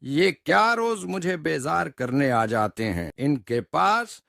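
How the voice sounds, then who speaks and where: A man's voice: on the high side, very animated, slow pace, vocal fry, loud music behind the voice, exaggerated voice. A man voicing a haughty, commanding, bored king in a cartoon story. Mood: irritated, threatening. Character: bored, imperious king who threatens prison. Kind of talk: cartoon story voice acting in Urdu.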